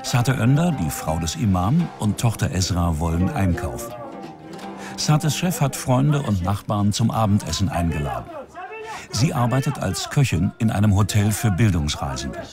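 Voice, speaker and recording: Male documentary narrator, standard German, low voice with animated intonation, music underneath. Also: moderate pace.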